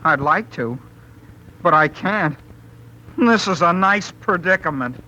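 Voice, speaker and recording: A man's voice: fairly high pitch, lively intonation, slow. An actor playing an earnest, flustered teenage boy who stammers and pleads in a 1930s radio comedy. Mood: worried. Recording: noisy, dull 1930s radio recording.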